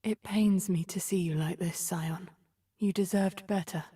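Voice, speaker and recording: An actress playing a cold, scheming sorceress from a video game, speaking in a measured, imperious, disdainful way with a breathy, whispery voice. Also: female voice, on the low side, steady tone, dark tone. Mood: mournful pity. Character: cold, scheming sorceress mastermind, smooth and menacing. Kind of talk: video game character voice lines.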